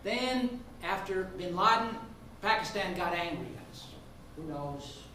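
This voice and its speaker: A man who is a law professor speaking in a debate, laying out his case deliberately in short rhetorical phrases. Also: high-pitched, animated, sharp tone. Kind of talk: spoken legal debate. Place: indoor room at a law school event. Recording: very echoey room, distant mic, faint noise.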